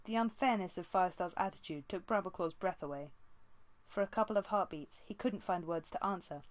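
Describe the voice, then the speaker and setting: A woman's voice: on the low side, a little fast, no room sound. A woman reading a story aloud as an amateur audiobook narrator.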